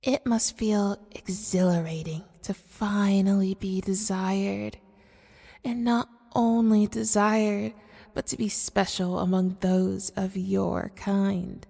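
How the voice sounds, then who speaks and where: A woman playing a manipulative, seductive female demon in an ASMR audio roleplay, speaking formally and deliberately in a coaxing way. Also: mid-range voice, gravelly, dark tone. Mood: seductive, possessive. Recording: long cavernous reverb.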